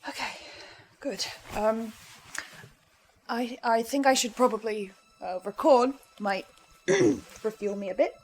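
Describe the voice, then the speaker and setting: High-voiced, hesitant young-sounding archivist played by a woman. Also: soft background music. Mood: hesitant, earnest. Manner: hesitant, halting, stammering. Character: hesitant, hungry marked archivist recording a podcast reaction.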